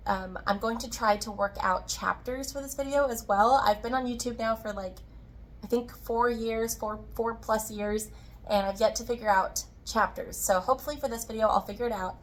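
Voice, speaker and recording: A woman with a slightly hoarse, tired voice hosting a casual, chatty crafting video in a friendly way. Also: mid-range voice. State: slightly hoarse, tired-sounding from recovering from illness. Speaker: podcast host, yarn dyer and shop owner.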